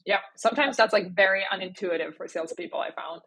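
A woman's voice: medium pitch, fast talker, fairly bright tone, breathy edge. A woman hosting a podcast interview in a casual, conversational way, often dropping in "like". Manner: informal, conversational, frequent "like".

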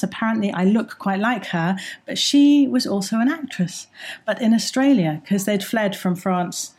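An enthusiastic woman, middle-aged, chatting about her life as a drama teacher. She talks in a lively, rambling way, full of ums and little laughs, at an even middle pitch. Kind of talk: interview guest, recounting her background.

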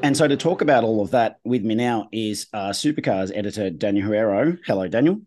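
A man with a hoarse, husky voice hosting a motorsport podcast in a relaxed, conversational way. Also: on the low side, dry recording, clean studio sound, faint music behind. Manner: conversational, measured. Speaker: podcast host. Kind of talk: casual motorsport chat.

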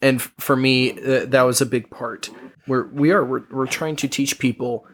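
Husky-voiced man reading Scripture. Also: medium pitch, steady tone. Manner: earnest, measured reading with restarts. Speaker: podcast co-host. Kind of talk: reading and explaining Bible verses.

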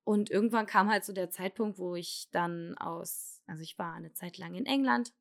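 A woman's voice: fairly low pitch, creaky. Hesitant German woman confiding. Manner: hesitant, halting, many fillers and restarts; candid, confessional. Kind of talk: personal testimony.

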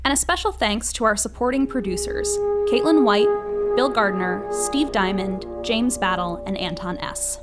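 A woman's voice: medium pitch, expressive, moderate pace. A woman reading scripted credits and acknowledgments. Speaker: credits announcer. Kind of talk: scripted narration. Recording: music behind.

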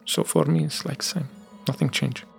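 A man with a Syrian Arabic accent, a native Arabic speaker, giving testimony in an interview in halting second-language English with frequent restarts. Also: on the high side, steady tone, gravelly, faint music behind. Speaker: interviewee, former activist.

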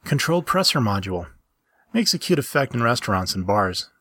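A man's voice: medium pitch, very animated, fairly quick, fairly bright tone, slightly rough, dry recording, studio quality. A man voicing a young, playful, innocent furry male in a story reading. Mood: pleased.

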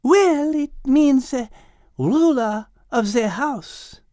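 A man's voice: very high voice, lively intonation, slow pace, warm tone, no room sound, clean studio sound, voice pushed up, exaggerated voice. A man voicing a refined, knowledgeable female cat with a French accent and precise, formal wording, in an audiobook. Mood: shy, hesitant. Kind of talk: audiobook character acting.

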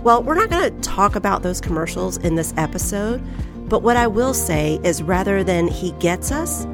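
Nasal, gravelly-voiced woman podcast host. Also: mid-range voice, big pitch swings, moderate pace, over music. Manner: earnest, encouraging, reads scripture aloud. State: getting over a head cold.

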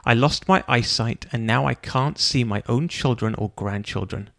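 A man voicing a gruff, bitter blind old man who growls and answers curtly. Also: mid-range voice, moderate pace, fairly bright tone, no echo, clean studio sound. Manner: growling, curt.

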